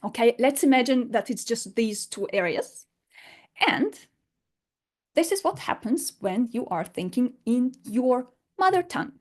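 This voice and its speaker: A woman's voice: fairly high pitch, sing-song, a little slow, darkish tone. A woman teaching an unscripted online lesson in English, her fourth language, explaining emphatically with rhetorical questions. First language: not English.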